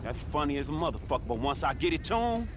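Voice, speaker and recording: A man's voice: a little high, big pitch swings, fast talker. A man playing an irritable, skeptical, foul-mouthed street-raised guy in a video game cutscene, with an American accent and curt, slangy delivery. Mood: annoyed. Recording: clear vehicle and street noise.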